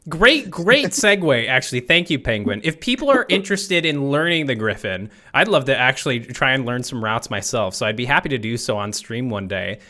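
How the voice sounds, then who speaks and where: A man's voice: mid-range voice, lively intonation, fairly quick, few pauses, sharp tone. A man hosting a casual gaming podcast, asking his guests upbeat, friendly questions over a call. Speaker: podcast host.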